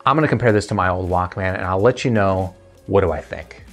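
A man's voice: low-pitched, fast talker, a bit bright, faint music behind. A man in his thirties giving a casual, conversational tech review to camera, explaining as he goes. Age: 30s. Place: indoor home studio with desk. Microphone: lavalier lapel mic.

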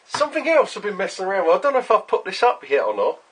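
A middle-aged man with a husky voice, chatting casually and rambling in a workshop vlog, often sweary. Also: high voice, huge pitch swings, very fast. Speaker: vlogger, workshop owner. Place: small cluttered workshop, indoors.

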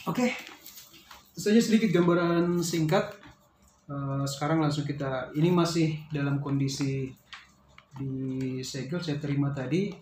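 A man's voice: a little high, a little mellow. Male vlogger, casual unscripted explainer, hesitant delivery, echoey room with slight noise. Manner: hesitant, pausing to search for words. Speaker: vlogger reviewing a product. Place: small bare-walled room indoors. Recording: roomy echo, faint background noise.

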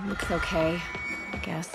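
An actress playing an annoyed, sarcastic partygoer in a video game. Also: female voice, fairly low pitch. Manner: irritated, sarcastic. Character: annoyed snarky partygoer in costume. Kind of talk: video game cutscene voice acting.

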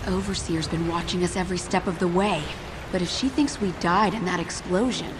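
A woman's voice: lowish voice, a little fast, background noise, close to an everyday voice. An actress playing a level-headed, determined young woman surviving in a horror video game. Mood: resolved, regretful. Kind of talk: video game drama acting. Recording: loud game music underneath.

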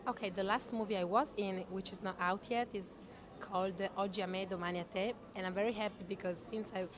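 Actress guest, woman in her thirties. Animated, self-correcting anecdotes into a handheld mic, with people chattering behind. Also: mid-range voice, fast talker. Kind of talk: chatty anecdotal interview answers. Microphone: handheld interview microphone shared with interviewer. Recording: busy chatter in the background.

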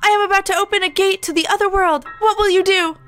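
A woman voicing a melodramatic, high-strung anime bird who fancies himself a demon fighter, declaiming grandly and urgently. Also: fairly even intonation, fast, fairly bright tone, soft background music. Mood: grave, urgent.

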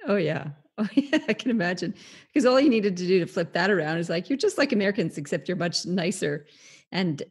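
A woman with a Canadian accent, a podcast host chatting with her guest in a relaxed, conversational way. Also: on the low side, brisk, husky. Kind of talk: casual interview chat.

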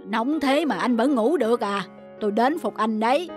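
A woman voicing a greedy, anxious middle-aged rich man in an animated folk tale, grumbling and fretful. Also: on the high side, normal speed, lightly acted. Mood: weary. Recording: music under the voice.

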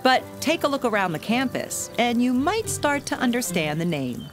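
A woman's voice: a little high, very animated, moderate pace, music in the background. A woman narrating a television feature in an upbeat, scripted storytelling manner.

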